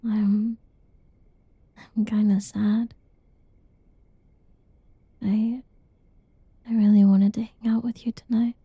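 A young woman whispering softly in a teasing, cuddly ASMR roleplay, with giggles between lines. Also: mid-range voice, level tone, takes it slowly, pauses often, slightly rough, dry recording, dull audio. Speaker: roleplay narrator.

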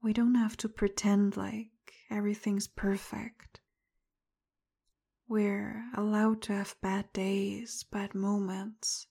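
A woman talking softly and very slowly in a breathy, husky voice with a gravelly fry. Her tone is mellow and dark, and she speaks directly to the listener. Much of what she says is whispered. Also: medium pitch, level tone. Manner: apologetic, tender, soft-spoken; frustrated and confrontational at first. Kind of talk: roleplay audio addressed to the listener.

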